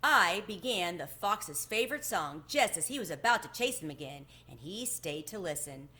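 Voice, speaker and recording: A woman voicing a gentle, homesick male cricket in a storybook, earnest and wistful in manner. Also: on the low side, lively intonation, moderate pace.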